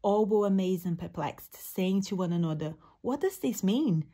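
Warm, husky young woman reading scripture. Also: medium pitch, lively intonation, normal speed. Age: young adult. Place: indoor room.

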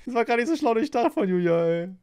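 Animated man reacting to a video. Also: very high voice, fast. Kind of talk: casual reaction commentary. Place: indoor streaming room. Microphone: close studio mic on boom arm.

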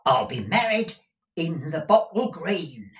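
A man voicing an excitable, fussy old master in a storybook reading, with an emphatic, wheedling manner. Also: a little high, slow pace, highs cut off, voice pushed up, heavily acted. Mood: eager, insistent. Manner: emphatic, exclamatory. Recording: distant, echoey room recording.

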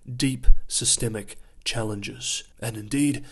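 A male host narrates a scripted economic news rundown in a calm, measured voice at an ordinary mid pitch. He explains each point steadily, as if walking viewers through the figures, and his voice is wearing thin and turning hoarse. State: losing his voice. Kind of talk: scripted news analysis voice-over.

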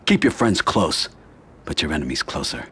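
Game role: a quietly menacing American crime boss, very deep, measured. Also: male voice, sing-song, full character voice. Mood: firm, commanding. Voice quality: husky. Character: cold, controlled mafia boss, quietly menacing. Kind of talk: dramatic video game acting.

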